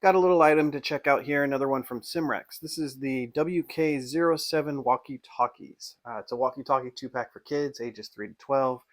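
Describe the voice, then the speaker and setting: A man's voice: mid-range voice, fairly quick, hardly any pauses. A man giving a casual hands-on unboxing review in an informal, off-the-cuff way. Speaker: product reviewer.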